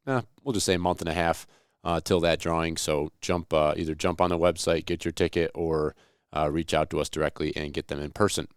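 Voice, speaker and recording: Hoarse deep-voiced man hosting a podcast. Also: no echo, studio quality. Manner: folksy, plain-spoken. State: hoarse from recovering flu. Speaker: podcast host. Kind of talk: solo podcast intro, casual talk. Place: Buffalo, New York area.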